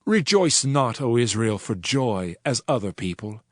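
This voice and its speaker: A man's voice: medium pitch, very animated, a little slow, dry recording, studio quality. A man playing a solemn Old Testament prophet in a dramatized Bible reading, declaiming judgment in a grave, formal manner. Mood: grave, sorrowful. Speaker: scripture narrator. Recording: music under the voice. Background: music.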